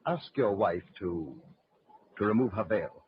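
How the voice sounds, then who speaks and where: A flustered gentleman in an old radio mystery. The voice is lowish and very animated, halting and dramatic. The sound is muffled and faintly noisy. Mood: stunned.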